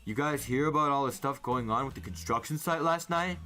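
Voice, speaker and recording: A man's voice: medium pitch, fairly quick, pushed and bright, soft background music, pitched above the performer's own voice, lightly acted. A man voicing a teenage older brother in a story, casual and slyly friendly, with a breezy, probing way of talking. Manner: breezy, cajoling questioning.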